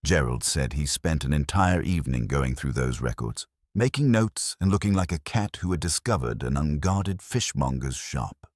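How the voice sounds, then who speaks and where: A formidable older woman of a village mystery, as a male narrator reads her, gossips with satisfied authority. The voice is remarkably deep and lively in its rise and fall. She speaks precisely and with great self-importance, in a dry recording. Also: normal speed, hardly any pauses, dry recording. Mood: satisfied, gossipy.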